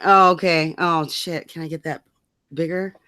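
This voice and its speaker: A woman's voice: sits low, fairly even intonation, normal speed, bright, forceful tone, no room sound, studio quality. A woman co-hosting a rant show in casual banter, blunt and mocking, swearing freely. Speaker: co-host of a political rant show.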